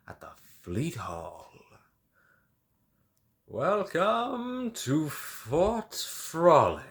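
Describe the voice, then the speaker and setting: A man voicing a flamboyant, unhinged male artist whose theatrical delivery swings between hushed whispers and shrieks. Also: sits low, heavily acted. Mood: grand welcome. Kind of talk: video game character lines.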